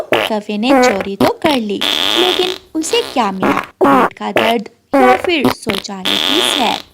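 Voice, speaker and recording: A woman with a very high voice narrates a children's story. She reads the script at a slow pace, as a storyteller. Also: gravelly, noisy background.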